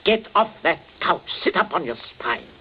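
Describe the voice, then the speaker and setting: A man's voice: high voice, big pitch swings, moderate pace, a little hiss or hum. An actor playing a stern, domineering middle-aged family man in a 1940s radio drama. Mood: scolding. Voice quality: stern, commanding. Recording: lo-fi old radio broadcast.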